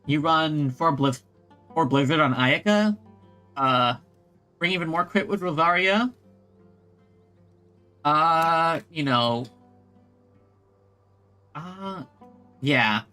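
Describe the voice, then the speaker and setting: High-pitched, lively male game streamer. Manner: halting, thinking aloud. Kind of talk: casual chat while playing a game. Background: soft game music.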